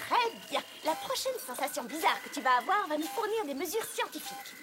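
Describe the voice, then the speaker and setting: A woman's voice: high voice, very animated, fairly quick. A woman voicing a studious, skeptical female unicorn pony in a French cartoon dub, precise and lecturing. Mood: exasperated. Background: loud music score.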